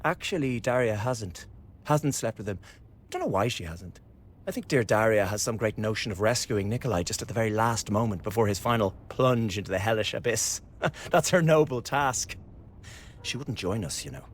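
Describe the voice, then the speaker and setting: An actor playing a spiteful, mocking young revolutionary son in a radio drama, sneering and taunting. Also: male voice, lowish voice, lively intonation, moderate pace.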